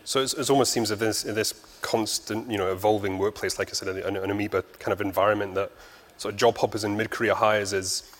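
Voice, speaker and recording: A man moderates a conference panel in a brisk, quick-fire way, now and then restarting a sentence. His voice sits on the low side. The long reverb of a large hall hangs around every word. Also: steady tone.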